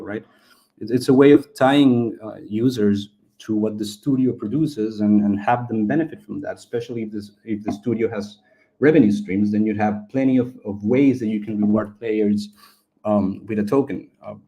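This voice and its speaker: A man's voice: on the low side, dark tone. A man from Chile, a Spanish speaker, talking casually as an interview guest and often repeating words as he explains. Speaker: game studio founder and interview guest.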